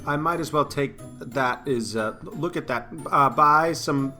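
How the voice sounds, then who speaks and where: A man's voice: a little high, sharp tone, soft background music. An actor playing a shy, awkward farmer in his sixties who stammers and halts through every sentence in an audiobook drama. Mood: flustered. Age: 60s. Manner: stammering, hesitant, halting. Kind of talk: full-cast audiobook acting.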